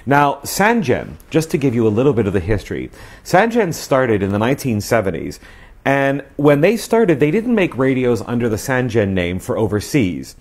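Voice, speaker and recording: A man's voice: mid-range voice, wildly swooping pitch, normal speed, a little mellow. A man in his forties hosting a casual review to camera in a chatty, emphatic presenter style. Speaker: host of a radio review show. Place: small living room, indoors. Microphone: lapel mic.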